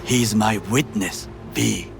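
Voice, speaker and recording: A grave, loyal warrior of a game world, low and breathy, with a Japanese accent. He speaks formally and deliberately, with music clearly behind him. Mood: resolute. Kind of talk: video game voice acting.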